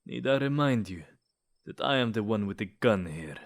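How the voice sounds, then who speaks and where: A man's voice: lowish voice, animated, moderate pace, gravelly. A man playing a smug, possessive, lovesick vampire in a comedic ASMR roleplay, theatrical and taunting one moment and sweet-talking the next. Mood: defensive, condescending.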